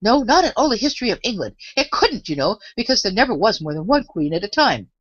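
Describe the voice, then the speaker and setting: Brisk, slightly raised young girl, puzzled and impatient, read by a woman, dry, slightly dull sound. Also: medium pitch, very animated, slightly rough, no echo, pitched above the performer's own voice.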